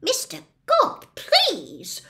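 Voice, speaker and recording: A woman voicing a polite but firm male ministry official in a storybook reading, formal and measured. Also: very high voice, huge pitch swings, bright, forceful tone, voice pushed up, heavily acted. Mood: polite, uneasy.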